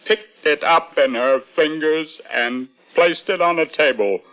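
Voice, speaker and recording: An actor playing an older, superstitious museum curator, agitated and pleading in a halting way, in an old-time radio suspense drama. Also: male voice, fairly high pitch, lightly acted. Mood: grave, haunted. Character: frightened, earnest male museum curator, doddering and superstitious. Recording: muffled vintage radio drama recording.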